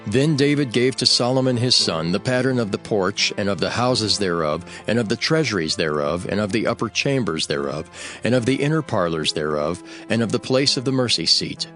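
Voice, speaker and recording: A male narrator reads scripture in a lowish voice. His phrasing is formal and deliberate, as in a scripted audio Bible. Faint music runs underneath. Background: soft background music.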